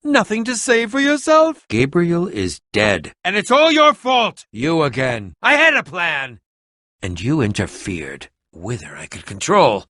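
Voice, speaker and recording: Casting: pompous video game villain, sneering potion-maker, bright, forceful voice, very dry close sound. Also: male voice, on the high side, huge pitch swings, measured pace, no room sound, full character voice. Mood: accusing, angry. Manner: pompous, sneering, melodramatic, over-enunciated. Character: haughty, scheming, theatrical villainous male potion-maker. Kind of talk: video game character acting.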